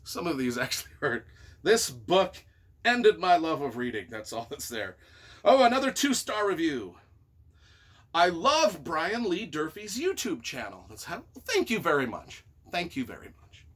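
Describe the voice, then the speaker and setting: A man reading reviews aloud and reacting casually in an expressive, wry, self-deprecating way. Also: on the high side, unhurried, breathy edge. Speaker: author and YouTuber.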